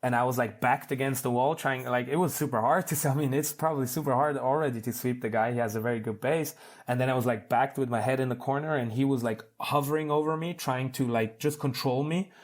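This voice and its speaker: A man's voice: medium pitch, fast. A man of thirty from Switzerland talking informally and in a rambling, conversational way as a podcast guest. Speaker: podcast guest, competitive jiu-jitsu athlete. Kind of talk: casual interview chat.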